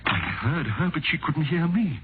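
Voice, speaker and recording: An actor playing a desperate, terrified father trapped in a hospital, breathless and halting, in 1940s radio horror style. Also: on the high side, expressive, background noise, natural voice. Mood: hushed dread. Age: middle-aged or older. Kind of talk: 1940s radio horror acting, first-person narration. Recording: muffled vintage 1940s radio recording. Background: dramatic music.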